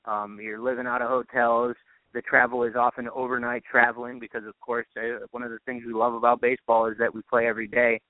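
A man's voice: medium pitch, little pitch movement, a little fast, no echo. A man, a radio sports announcer, giving conversational interview answers as a guest over a narrow phone line.

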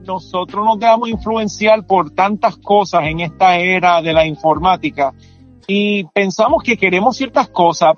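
A man's voice: sits high, dull audio. A man with a Puerto Rican accent whose first language is English, explaining his book at length in a casual interview and checking in with "you know?". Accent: Puerto Rican Spanish. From Puerto Rico. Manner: explains at length with many restarts and tags like "¿me entiendes?". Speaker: author and performance coach.

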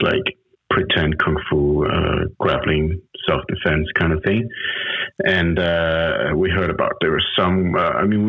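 A man's voice: low-pitched, husky. A man in his late thirties from Denmark, a guest chatting casually on a call, rambling with many restarts. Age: 30s. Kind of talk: casual interview chat. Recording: tinny phone-like audio.

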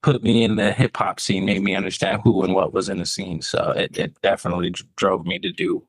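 A young man from Arizona, a rapper guest on a podcast, answering in a laid-back, halting way. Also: low-pitched, level tone, husky, dry recording, poor audio. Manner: laid-back, hesitant, lots of restarts. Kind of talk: casual interview answers.

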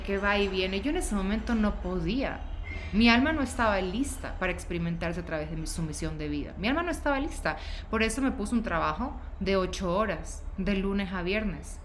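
A woman with a Guayaquil Ecuadorian accent gives a personal, confessional monologue as a host, repeating phrases for emphasis. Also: mid-range voice. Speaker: podcast host and yoga teacher. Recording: loud steady background noise.

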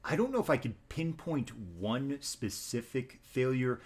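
A teacher speaking in an interview, measured and thoughtful, often restarting his sentences. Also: mid-range voice, animated. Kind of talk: interview conversation.

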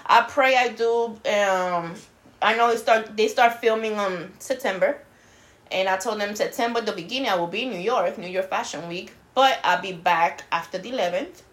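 A woman's voice: medium pitch, moderate pace, a bit bright. A woman with a Puerto Rican accent co-hosting a podcast in casual chat, bubbly and switching between English and Spanish. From Puerto Rico. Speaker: podcast co-host.

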